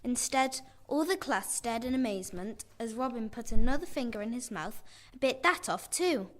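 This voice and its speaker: A woman's voice: fairly high pitch, expressive, normal speed, fairly bright tone. A young girl narrating a scripted radio play as its storyteller. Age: child or young performer.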